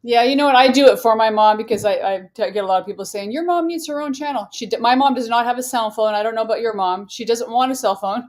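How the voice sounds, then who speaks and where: Fast-talking woman in her sixties. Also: fairly high pitch. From Arizona. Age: 60s. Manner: upbeat, laughs easily, restarts words. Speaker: guest. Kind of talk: interview answers.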